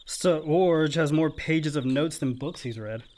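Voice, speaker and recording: A man's voice: a little high, unhurried, background noise, close to an everyday voice. A male actor playing a teasing, easygoing grown son and loyal brother in a naturalistic radio play. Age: young adult. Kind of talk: naturalistic radio drama dialogue.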